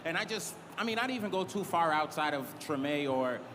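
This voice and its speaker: Man, chef from Massachusetts, earnest, higher voice, echoey hall, steady hiss. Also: a little fast. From Cambridge, Massachusetts. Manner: earnest, hesitant fillers. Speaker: head chef and restaurant owner. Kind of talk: panel talk. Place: large convention hall. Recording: reverberant hall, clear noise.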